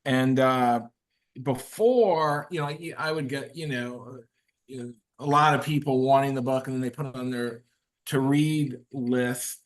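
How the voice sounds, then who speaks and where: A man's voice: medium pitch, measured pace. A man who is a guest author, talking casually on a video call, rambling with many fillers and restarts. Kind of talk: casual webinar talk.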